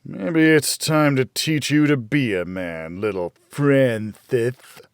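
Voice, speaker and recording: A man's voice: medium pitch, takes it slowly, smooth, dry recording, clean studio sound. A man voicing a cruel, mocking, hard-drinking father in an audiobook, with a taunting, menacing delivery.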